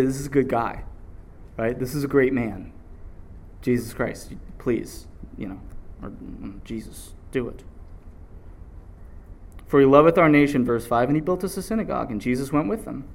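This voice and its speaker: A man preaching a sermon, reading scripture aloud and drawing answers back from the congregation. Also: medium pitch. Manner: animated call-and-response preaching.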